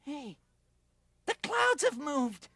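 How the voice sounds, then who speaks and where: Lady doing a jittery little dragonfly guy, frets a lot, jumpy pitch. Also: big pitch swings, unhurried, sharp tone, no room sound, studio quality, full character voice. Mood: panicked. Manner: panicky, fretful exclaiming.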